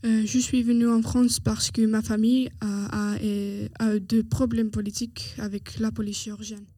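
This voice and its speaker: A woman's voice: a little high, flat delivery, moderate pace, dark tone, no room sound. A woman from Georgia, a language student, answering hesitantly and repeating words. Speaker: language student being interviewed. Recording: clear music under the voice.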